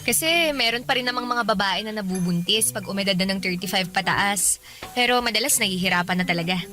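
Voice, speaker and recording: A woman's voice: medium pitch, brisk, bright, forceful tone, soft background music, close to an everyday voice. An actress playing a candid young working woman with a Filipino accent, in conversational radio drama style. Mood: chatty, candid. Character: chatty young working woman, warm, candid, stands her ground.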